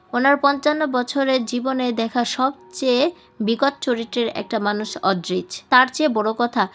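A woman's voice: a little high, a bit bright. A woman reading a scripted story as an audiobook narrator. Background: music.

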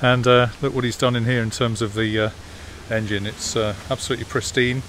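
A man giving a relaxed, hesitant walk-around commentary in an outdoor car park, filmed on a phone. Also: medium pitch, a little fast. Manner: relaxed, hesitant with many fillers. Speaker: classic car vlogger. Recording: outdoor phone video with clear background noise. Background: jet washer and outdoor noise.

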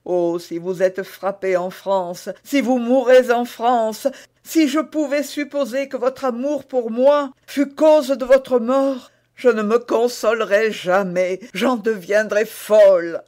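A man's voice: fairly even intonation, slow, darkish tone. A man reading aloud and voicing an anguished, dignified young queen who pleads in raised cries. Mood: pleading. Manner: pleading, rising to high emotional cries.

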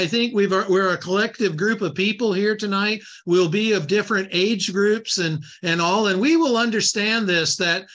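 A man in his sixties delivers a Bible lecture, quoting scripture between looser asides. His voice sits high and sounds bright and clear. He often doubles words as he restarts a thought. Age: 60s. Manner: repeats words and restarts, reads scripture aloud. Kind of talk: Bible teaching talk.

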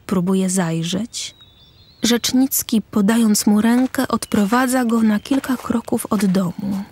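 Female narrator for a radio play; husky, mid-pitched, reading scripted stage directions. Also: a little slow, darkish tone.

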